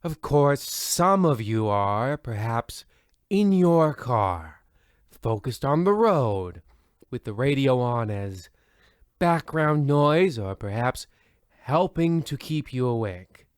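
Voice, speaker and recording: A man's voice: medium pitch, very animated, takes it slowly, clean tone, dry recording, clean studio sound. A man playing a weary, formal host of a spooky old-time radio comedy show, with theatrical delivery and dejected asides. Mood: solemn, wry.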